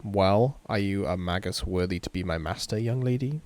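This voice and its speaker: A man's voice: low voice, normal speed, darkish tone, lightly acted. Man doing an arrogant, sardonic summoned servant. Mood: sardonic, challenging. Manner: mocking, condescending.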